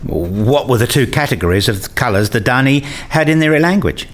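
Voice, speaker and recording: A man's voice: medium pitch, expressive, normal speed, close to an everyday voice. A man playing an inquisitive hobbyist in a radio play, questioning and reasoning aloud. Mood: curious.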